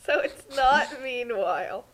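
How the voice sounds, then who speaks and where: A woman's voice: high voice, expressive, slow pace, fairly bright tone, low-quality recording. A woman giving casual, joking commentary as she reacts to a story.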